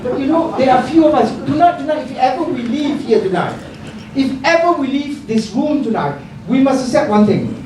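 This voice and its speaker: A woman's voice: sits high, sing-song, normal speed. A woman moderating an event, addressing the audience informally and emphatically, repeating phrases for effect. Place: indoor book launch event room. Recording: very echoey room, distant mic, crowd noise.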